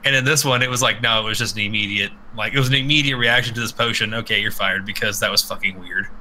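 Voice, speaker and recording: A man hosting a casual live podcast, rambling and swearing freely as he gives animated opinions. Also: on the low side, fast, a little hiss or hum, poor audio. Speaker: podcast host. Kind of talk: casual opinionated live chat about games.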